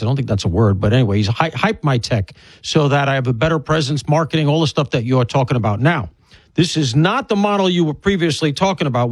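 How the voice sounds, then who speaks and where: A man's voice: a little high, dry recording. An older man with an Alabama accent, hosting an interview with wisecracking, self-deprecating humor. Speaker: radio host. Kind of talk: joking interview chat.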